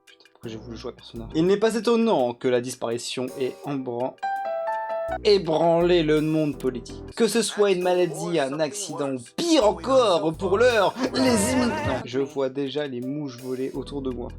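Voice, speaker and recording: A man's voice: a little high, animated, normal speed. A man giving casual, joking and crude gameplay commentary, rambling and often laughing. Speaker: gaming YouTuber. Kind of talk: casual let's-play commentary. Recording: game music playing under the voice. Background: game music.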